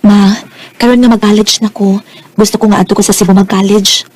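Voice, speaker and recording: An actress playing an earnest, stubborn, heartbroken teenage daughter in a radio drama, with a Filipino Bisaya accent. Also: female voice, medium pitch, flat delivery, brisk, a little mellow, natural voice. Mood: hurt. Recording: noisy radio drama track.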